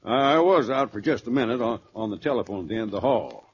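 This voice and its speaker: An actor playing a polite, flustered street-corner Santa, stammering and earnest, in a 1940s radio drama. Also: male voice, medium pitch, animated, fast, slightly rough. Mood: flustered, insistent. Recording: dull, noisy old radio recording.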